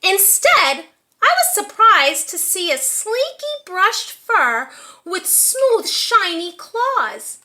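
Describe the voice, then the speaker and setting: Female storyteller for preschoolers, bright and slow-paced, encouraging, questions the kids, echoey room. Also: very high voice, big pitch swings. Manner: encouraging, asks young listeners questions. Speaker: read-aloud storyteller for preschoolers. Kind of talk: animated children's storybook reading.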